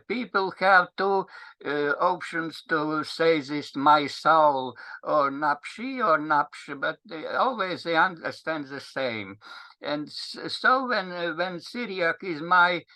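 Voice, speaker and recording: A man with a Latvian accent, a native Latvian speaker, giving an unscripted linguistics talk in English, halting and searching for words with frequent restarts. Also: a little high, creaky, bright, forceful tone, rough recording.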